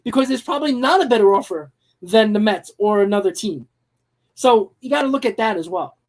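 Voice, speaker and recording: A man hosting a live-streamed sports talk show, hoarse from losing his voice, giving blunt, emphatic opinions. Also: high-pitched, lively intonation, fairly quick.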